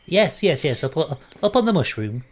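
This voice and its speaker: A man's voice: a little high, big pitch swings, moderate pace, breathy edge, pitched above the performer's own voice. A man voicing a fussy male fey court attendant who ushers people along. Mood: coaxing. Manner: fussy, ushering, repeats himself.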